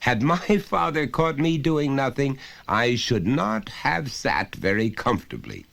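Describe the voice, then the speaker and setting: A man's voice: mid-range voice, very animated, a little slow, creaky, a bit bright, pitched above the performer's own voice, heavily acted. A man voicing an overbearing, falsely jovial middle-aged father in a short story, with a precise, pompous, lecturing manner. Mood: lecturing, stern.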